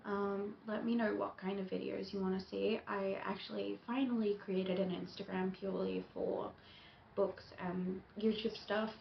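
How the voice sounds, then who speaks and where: A young woman chatting casually on her book vlog, with plenty of ums and soft laughs. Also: medium pitch, gravelly. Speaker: book YouTuber. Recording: echoey room, dull lo-fi sound, faint hiss.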